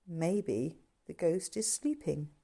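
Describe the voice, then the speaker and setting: Woman as a thoughtful boy, very varied pitch. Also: big pitch swings, takes it slowly, vocal fry, dark tone, slightly rough, exaggerated voice. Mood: tentative. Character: thoughtful boy musing on ghosts and clues.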